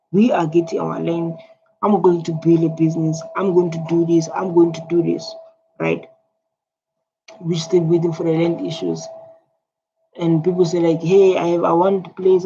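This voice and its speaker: A man's voice: fairly high pitch, vocal fry. Blunt Tswana-accented man reacting casually. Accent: South African, Tswana. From South Africa. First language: Setswana. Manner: animated, blunt, repetitive. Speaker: commentator reacting to clips. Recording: dull lo-fi sound with faint noise.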